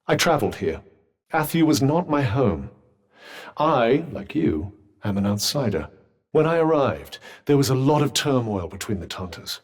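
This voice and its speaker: A man's voice: mid-range voice, very animated, normal speed, long reverb tail. A man with a British accent playing a sardonic, pompous magical talking vambrace in a video game, speaking in formal, wordy phrases with dry wit. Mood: measured, dry. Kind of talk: video game character dialogue.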